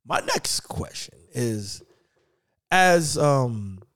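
Animated man hosting a podcast. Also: mid-range voice, very slow. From United States, Haitian family. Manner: animated, laughs often, repeats words. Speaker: podcast host. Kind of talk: casual podcast chat.

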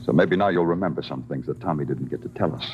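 An American man playing a terse, deadpan, world-weary police detective in a vintage radio crime drama. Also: a bass voice, fast talker, a little hiss or hum. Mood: cool, pressing. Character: hard-boiled male night-shift Broadway police detective, world-weary. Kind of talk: 1950s radio crime drama acting and narration. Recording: dull vintage radio recording with music.

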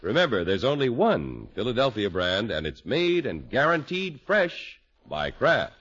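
A male announcer from 1950s radio reads scripted copy with highly animated, swinging intonation. The vintage broadcast sounds narrow and dull, with faint music around it. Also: unhurried, slightly rough.

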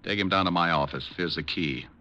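An actor playing a suave, quick-witted private detective in a 1950s radio drama, brisk, probing and confident. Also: male voice, low voice, pushed and bright, highs cut off. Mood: urgent, insistent.

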